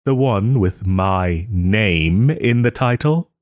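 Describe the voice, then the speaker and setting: A man playing a proud, sarcastic nobleman in a scripted roleplay audio drama, with haughty, theatrical phrasing and pointed pauses. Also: fairly low pitch, lively intonation, darkish tone, no echo, studio quality. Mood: sardonic, aggrieved. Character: wry, wounded young nobleman lord, sarcastic and proud.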